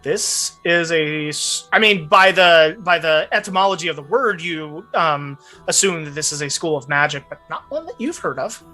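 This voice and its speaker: Man, game master, high voice, bright tone, casual tabletop narration, background music. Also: lively intonation, normal speed, hardly any pauses.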